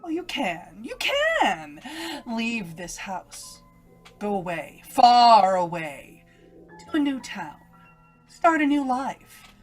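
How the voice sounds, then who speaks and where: Casting: sinister inner voice, female, unhurried, insistent and cruelly taunting, for radio horror play. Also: fairly high pitch, wildly swooping pitch, soft background music, full character voice. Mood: bargaining, urgent. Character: evil woman's voice inside a young woman's head. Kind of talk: old-time radio horror acting.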